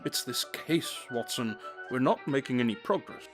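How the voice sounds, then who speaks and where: Man acting a brooding, deliberate detective. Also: medium pitch, soft background music, natural voice. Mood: troubled, brooding. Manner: deliberate, analytical, slightly formal. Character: brooding, brilliant detective, intense and self-absorbed. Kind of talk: audio drama dialogue.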